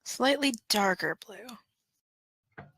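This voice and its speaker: Woman doing nervous excited young guy in a fan drama, slow n deadpan, kinda high, casual. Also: husky, no echo, clean studio sound, heavily acted. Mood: dramatic, pleased. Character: nervous, excited young man meeting an online crush. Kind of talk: amateur fan fiction audio drama.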